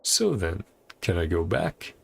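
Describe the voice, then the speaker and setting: A man's voice: low voice, sing-song, slow, gravelly, a bit bright, slightly rough. A man livestreaming a video game, chatting casually in rambling asides and reading dialogue aloud. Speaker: game streamer.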